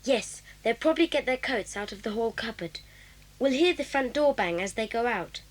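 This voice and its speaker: A woman playing an eager, high-voiced schoolboy. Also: animated, normal speed, poor audio.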